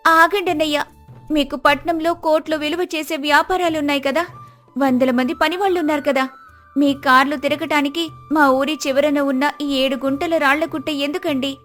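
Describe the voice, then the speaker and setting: A woman's voice: sits high, fairly even intonation, normal speed, fairly bright tone, soft background music. A woman voicing a clever, sarcastic village wife in a moral story. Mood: mocking. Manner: sarcastic, pointed.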